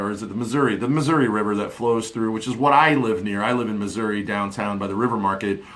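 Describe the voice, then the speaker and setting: A man in his forties with a somewhat low voice talks to a live-stream audience in a loose, rambling way, leaning on fillers. He speaks into a studio mic on his desk in a small home office. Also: a bit bright. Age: 40s. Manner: rambling, chatty, many fillers. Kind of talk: casual live-stream monologue. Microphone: studio mic on desk with headphones.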